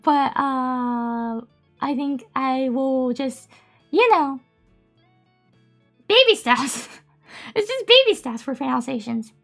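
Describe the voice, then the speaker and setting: A woman with a high voice and a gravelly edge chats casually on a live stream, giggling often and filling pauses with "um" and "like". She is a native Japanese speaker. Faint music plays behind her. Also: animated, fairly bright tone. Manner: chatty, giggly, many fillers.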